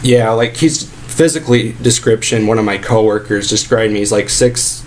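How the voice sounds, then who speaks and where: A man acting as an aggrieved fast-food worker with a fairly low voice, lodging a casual complaint. He rambles and stalls, repeating himself as he goes, over a noticeably noisy recording of a prank call. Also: close to an everyday voice. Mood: earnest, aggrieved. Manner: rambling, halting, repetitive. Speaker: prank caller posing as a sandwich shop employee.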